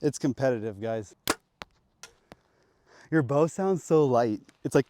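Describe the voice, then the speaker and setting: A man's voice: mid-range voice, slow, warm tone, slight background noise. A young man hosting an archery vlog outdoors on public land, hyped and slangy, talking to the camera. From Pacific Northwest of the US. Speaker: vlogger. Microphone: action camera.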